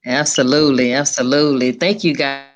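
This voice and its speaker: A woman's voice: rumbling low, steady tone, brisk, muffled recording. A woman hosting a casual, chatty interview show, often repeating and affirming what others say. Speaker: talk show host.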